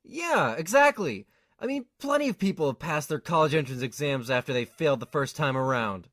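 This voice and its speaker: An insecure young male student acted by a man with a fairly high, lively voice in a dry recording. He sounds defensive, earnest and slightly whiny, in the manner of an anime audio drama. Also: brisk, no room sound.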